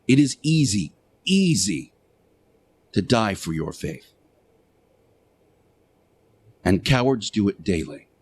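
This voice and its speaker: A man delivering a sermon-like radio monologue as a show host, speaking emphatically and preachily with deliberate rhetorical repetition. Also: fairly low pitch, very animated, darkish tone, breathy edge.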